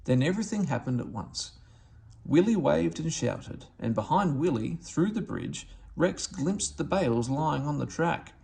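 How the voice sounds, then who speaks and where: A man's voice: fairly high pitch, lively intonation, unhurried, gravelly, a little mellow, husky. A man reading a children's story aloud as a scripted narration.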